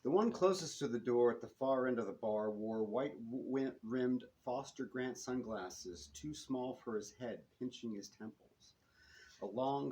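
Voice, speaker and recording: Male author, live prose reading, expressive narrator with small stumbles, light room noise. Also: on the low side. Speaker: author reading own novel. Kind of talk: live reading of a detective novel. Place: public reading in a bookstore. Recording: noisy live room recording.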